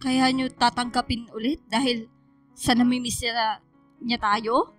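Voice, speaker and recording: An actress playing a bitter, hurt young daughter in a radio drama, with a Filipino accent and a confrontational manner. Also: female voice, fairly high pitch, flat delivery, measured pace, a bit bright, faint music behind. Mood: wounded disbelief. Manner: confrontational, reproachful.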